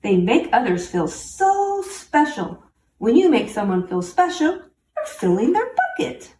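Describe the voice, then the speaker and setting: A woman with a fairly high, very lively voice reads a children's picture book aloud. She speaks simply and encouragingly, talking straight to young listeners. The recording sounds roomy, with some distance from the mic. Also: unhurried. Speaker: children's story reader. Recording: roomy, distant mic, faint background noise.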